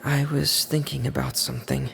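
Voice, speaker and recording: A man voicing a shy, withdrawn, soft-spoken young brother in a video game, hesitant and halting. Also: mid-range voice, level tone, vocal fry, slight background noise.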